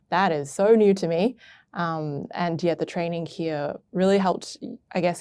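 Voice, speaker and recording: Low, dark-voiced woman musing hesitantly. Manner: hesitant, thoughtful, many fillers. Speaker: arts intern. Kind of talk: casual interview answers.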